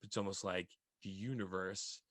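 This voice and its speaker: Raspy low-voiced guy hosting a podcast, rambly and earnest, bright forceful tone. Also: fairly even intonation, normal speed, dry recording. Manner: rambling, earnest, talks at length. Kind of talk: casual podcast chat.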